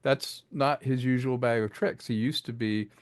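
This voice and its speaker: A man's voice: mid-range voice, fairly even intonation, normal speed, clear, resonant tone, dry recording. A man talking casually and enthusiastically as a writer and director being interviewed about his work. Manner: chatty, enthusiastic, acts out bits of dialogue.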